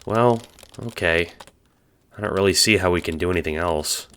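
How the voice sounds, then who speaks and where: A man acting in an audio drama as a casual, wry young hero and chatty narrator, with an expressive delivery. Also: deep voice, moderate pace, clean tone. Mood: uneasy, joking.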